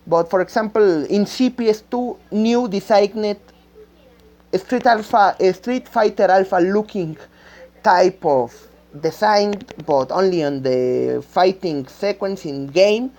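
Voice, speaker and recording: Slow, halting woman with a Spanish-speaking accent. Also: medium pitch, a little mellow. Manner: halting, searching for words, repeating phrases. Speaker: amateur game creator. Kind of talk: unscripted rambling vlog talk. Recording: dull lo-fi microphone sound.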